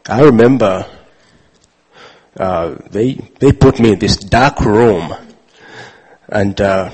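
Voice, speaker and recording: A man from Uganda telling a personal story with many pauses, fillers and restarts. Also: lowish voice, a little mellow, breathy edge, rough recording. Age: adult father. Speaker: speaker sharing his testimony. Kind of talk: personal testimony talk.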